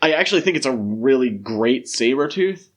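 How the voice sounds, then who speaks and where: A man's voice: mid-range voice, expressive, moderate pace. A man hosting a podcast, discussing film in a casual but detailed, explanatory way. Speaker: podcast host.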